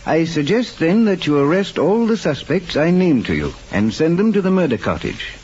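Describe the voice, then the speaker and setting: An actor playing a calm, authoritative detective in an old-time radio drama, speaking in a measured, formal, courteous way. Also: male voice, on the high side. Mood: assured. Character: calm, authoritative male detective, courteous but firm investigator. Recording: muffled, noisy old radio recording.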